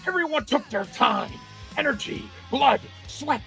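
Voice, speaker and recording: A man acts out a fervent wrestling promoter in an audio play. He speechifies grandly in a lively, creaky voice, with faint music underneath. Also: very high voice, measured pace, exaggerated voice. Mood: grandiose rallying. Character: fervent, defiant male wrestling promoter rallying his crew.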